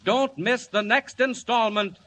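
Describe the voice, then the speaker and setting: An actor playing an urgent, dramatic American announcer, narrating a 1940s radio serial in a declamatory manner. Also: male voice, very high voice, unhurried, pushed and bright, full character voice. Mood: urgent, grand. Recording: noisy 1940 radio recording.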